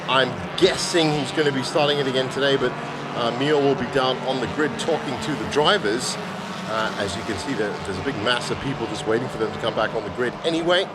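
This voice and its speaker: A man with a slightly hoarse voice giving chatty, enthusiastic live motorsport commentary. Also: medium pitch, brisk. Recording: loud engine and outdoor circuit noise. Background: cars and trackside ambience.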